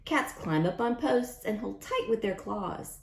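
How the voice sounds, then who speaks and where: A woman's voice: mid-range voice, lively intonation, a little slow, creaky. A woman reading aloud at storytime for young children in a sing-song manner, often asking questions and repeating lines. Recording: roomy, echoing sound.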